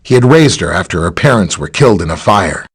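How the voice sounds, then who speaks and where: A friendly, knowledgeable superhero scientist from a video game. His voice sits on the low side with a husky grain. The pitch moves in an animated way, and he talks like an easygoing ally, conversational even when explaining. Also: fairly quick, full character voice. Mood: matter-of-fact. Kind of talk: video game character dialogue, briefing and greeting.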